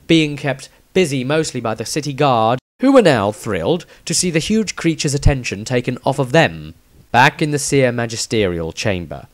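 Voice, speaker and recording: A man reading his own fantasy story as scripted narration, with dramatic, deliberate phrasing. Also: mid-range voice.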